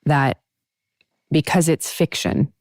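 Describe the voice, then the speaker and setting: A woman's voice: sits low, steady tone, takes it slowly, darkish tone, no echo, clean studio sound. A woman hosting a casual talk show, chatty and emphatic, thinking aloud as she talks. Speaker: podcast host. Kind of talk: casual interview chat.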